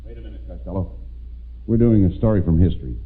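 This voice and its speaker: A man's voice: deep voice, fast talker, slightly rough. A man playing a blustering, bossy, lovesick colonial soldier in theatrical 1940s radio comedy style. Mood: exasperated, urgent. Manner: theatrical, emphatic, exasperated. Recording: tinny, hissy old-time radio recording.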